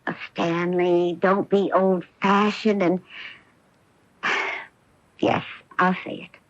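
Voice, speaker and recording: An actress in a radio drama playing an earnest, driven star golfer who pleads emotionally and persuasively as a loving wife. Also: female voice, fairly low pitch, flat delivery, slow, no room sound. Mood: urgent, persuasive. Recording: muffled old AM radio broadcast.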